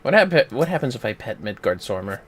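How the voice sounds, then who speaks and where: A man chatting casually while gaming on a livestream, playful, exclamatory and quick to swear. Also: mid-range voice, sing-song, fairly bright tone, slight background noise. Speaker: gamer on a livestream. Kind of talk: casual gameplay chat.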